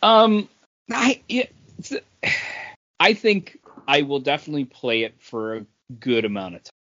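A man's voice: on the high side, very animated, unhurried, sharp tone, lo-fi sound. A man co-hosting a podcast, chatting casually about games. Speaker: podcast co-host.

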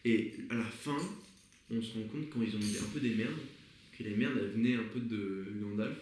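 A man's voice: lowish voice, normal speed, a little mellow, a little hiss or hum. A young man in casual, slangy group brainstorming, insistently explaining and acting out script ideas. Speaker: podcast member brainstorming a comedy script. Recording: very echoey room.

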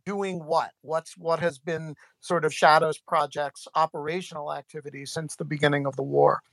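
Podcast host, male, halting with restarts, dry close sound. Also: on the high side, little pitch movement, gravelly, a bit bright, no room sound. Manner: halting, many fillers and restarts. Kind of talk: podcast interview.

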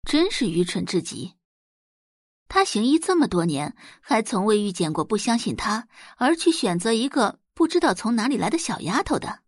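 A woman narrates a drama from a script. Her delivery is highly animated, with a husky, breathy edge and a close, dry recording. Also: moderate pace, no echo. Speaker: audiobook narrator.

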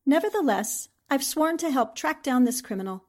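A woman's voice: high-pitched, lively intonation, moderate pace, darkish tone. A woman acting a bold, inquisitive newspaper reporter in a 1940s-style radio drama, resolute and questioning. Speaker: actor in an audio drama.

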